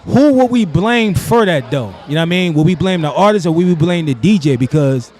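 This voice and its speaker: A man's voice: fairly high pitch, animated, brisk, darkish tone. A man from New Jersey hosting a podcast, talking in a laid-back, slangy hype-man way. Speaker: podcast host. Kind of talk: casual interview. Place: outdoors.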